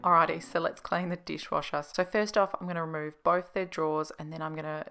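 A woman's voice: deep voice, steady tone, fairly quick, a bit bright, soft background music. A woman in her thirties with an Australian accent, narrating a casual cleaning vlog in a chatty, explanatory way. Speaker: home organisation vlogger.

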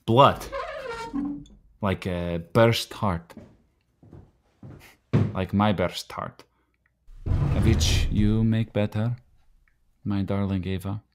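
An actor playing a fiery, wounded Slovak immigrant night watchman in a radio play, with a Slovak accent and halting, broken phrases. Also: fairly low pitch, background noise.

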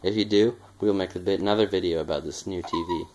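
A teenage boy hosting a home video, teasing his friend with a deep voice and frequent laughter. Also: steady tone, quick pace, noisy background. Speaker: channel host. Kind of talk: casual home video chat. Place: dim home room. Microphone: handheld camera close up.

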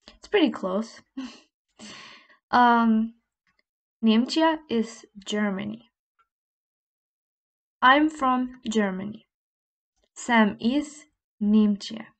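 A young woman, a native Slovene speaker, slowly and patiently teaching a language lesson over a screen recording, repeating phrases and checking in with "okay?". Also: on the high side. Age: young adult.

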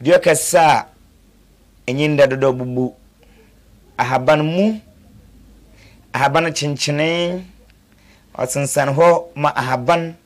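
A man delivering a poetic death announcement as a broadcast announcer, declaiming with sudden swells and hushed drops. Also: fairly high pitch, slow pace, pauses often.

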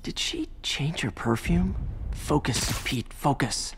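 Wisecracking young superhero. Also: male voice, fairly high pitch, expressive, slow pace, vocal fry, full character voice. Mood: focused. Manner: joking, chatty banter. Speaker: game protagonist. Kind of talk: video game cutscene and gameplay banter. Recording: noisy game mix with sound effects.

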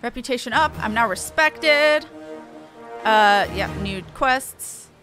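Playful, animated woman game streamer. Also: on the high side, takes it slowly, faint music behind. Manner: playful, self-deprecating. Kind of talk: casual game stream commentary.

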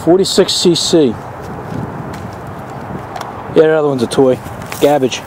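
Guy filming in the backyard, high voice, teasing commentary, chainsaw roaring loud behind him. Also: a little slow. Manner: joking, teasing running commentary. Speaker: vlogger filming friends. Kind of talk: casual commentary behind the camera. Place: outdoor backyard with chainsaws running. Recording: loud chainsaw noise outdoors.

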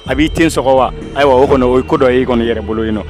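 A man's voice: medium pitch, fast. A young man hosting a casual street game outdoors, repeating phrases for emphasis. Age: young adult. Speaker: street interview host. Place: outdoors in urban courtyards and streets. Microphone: small handheld lapel mic. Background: music.

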